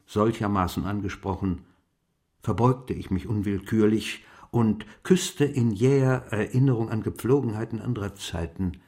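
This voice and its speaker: An actor playing an elderly, wistful gentleman diarist in a literary radio play, speaking in a measured, formal and precise way. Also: on the low side, lightly acted. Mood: contemplative. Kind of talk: literary radio play monologue, reading diary entries.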